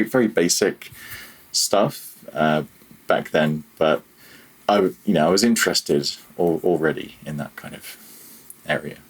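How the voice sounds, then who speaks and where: A man's voice: sits low, rough recording. A man in his thirties chatting casually as an interview guest, reflective and a little hesitant, with a self-deprecating way of talking. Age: 30s. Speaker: podcast guest, audio programmer.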